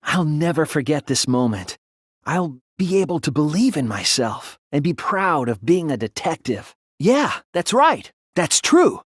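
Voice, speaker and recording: A man voicing an earnest teenage boy detective in a dramatic anime-style video game, hesitant at times and forceful when arguing. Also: a little high, very animated, a little slow, husky, no room sound, studio quality, full character voice. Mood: subdued.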